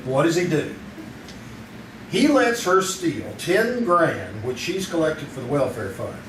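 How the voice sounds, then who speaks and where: Guy doing old radio crime photographer, sardonic, lively pitch, far from mic in noisy room. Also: measured pace. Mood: skeptical. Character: skeptical, wisecracking male newspaper crime photographer. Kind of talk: old-time radio drama acting. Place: community theater stage. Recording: roomy, noisy, distant live stage recording.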